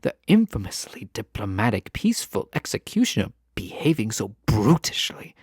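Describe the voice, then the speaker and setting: A man playing a lovestruck, wistful fantasy nobleman in a romantic audio roleplay, speaking formally and tenderly, with halting phrases. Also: on the low side, big pitch swings, darkish tone, husky, no echo, studio quality, full character voice. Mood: nostalgic, teasing. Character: lovestruck fantasy nobleman, wistful, teasing, formerly held captive.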